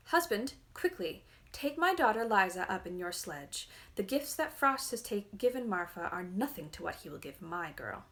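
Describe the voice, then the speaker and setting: A woman's voice: medium pitch, big pitch swings, moderate pace, hardly any pauses, a bit bright. A woman voicing a grasping, bossy middle-aged peasant mother in a fairy tale. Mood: urgent, bossy. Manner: urgent, grasping. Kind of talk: storybook fairy-tale reading.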